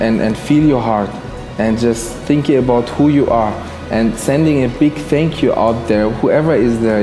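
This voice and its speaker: A man from Germany giving earnest, motivational personal talk as an interview guest, often repeating his phrases. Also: mid-range voice. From Germany, of Iranian background. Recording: roomy echo with faint noise.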